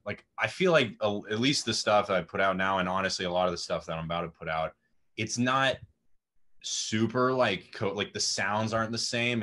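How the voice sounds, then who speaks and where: Young man, raspy voice, low-pitched, bright and forceful tone, laid-back rambling, video call. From Austin, Texas. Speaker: guest musician. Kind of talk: casual interview chat. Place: home room with music gear. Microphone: video call webcam.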